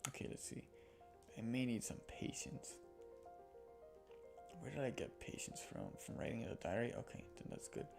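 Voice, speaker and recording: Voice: mid-range voice, sing-song, normal speed, creaky, long pauses. A streamer playing a visual novel, reading its text aloud and talking casually through the choices. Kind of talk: reading game dialogue aloud with casual asides. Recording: background music under the voice. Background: music.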